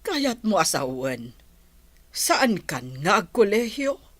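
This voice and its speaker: Slow, expressive mother. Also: mid-range voice, no echo. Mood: gently scolding. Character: middle-aged mother, patient and gently admonishing. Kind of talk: audio drama acting.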